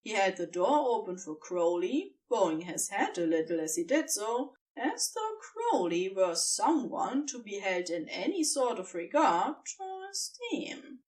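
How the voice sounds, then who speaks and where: A woman reading scripted fan fiction narration in an expressive storytelling manner. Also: medium pitch, unhurried, hardly any pauses, distant mic, rough recording.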